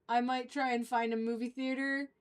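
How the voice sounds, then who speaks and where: A high-pitched woman talks as a livestream host in a loose, conversational way. She rambles haltingly, letting thoughts trail off before picking them up again. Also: flat delivery, clear, resonant tone. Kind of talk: casual fan chat.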